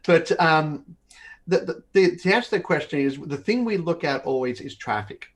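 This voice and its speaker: A man's voice: a little high, expressive, normal speed. Man in his fifties explaining on a call. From born in Australia, grew up in northern Canada. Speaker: company host of an online Q&A session. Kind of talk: conversational explaining in a live webinar. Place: home office, indoors. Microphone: video call.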